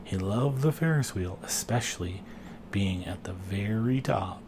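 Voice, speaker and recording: A man reading a children's picture book aloud, expressively and with deliberate pauses. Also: lowish voice, background noise. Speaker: storybook reader and dad.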